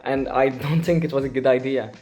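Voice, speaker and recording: A teenage young man with a Moroccan accent talks casually and hesitantly. His pitch is medium, and music plays behind his voice. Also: fairly even intonation, a little fast, darkish tone. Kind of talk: casual chat.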